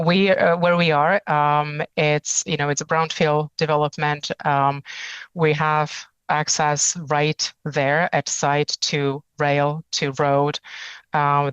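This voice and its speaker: A woman in her forties, raised in the Czech Republic, speaks as a company chief in a steady, level tone. Her voice is smooth and clean, and it sounds close and dry with no room around it. She talks in a measured way and pauses often between phrases. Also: deep voice, no room sound, muffled recording. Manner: measured, many fillers and pauses. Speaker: company CEO, guest. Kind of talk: business interview answers.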